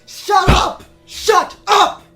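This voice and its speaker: A woman's voice: very high-pitched, steady tone, very slow, fairly bright tone, heavily acted. A woman playing a defiant, hot-tempered teenage boy in an amateur audio drama. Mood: furious shouting. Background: music.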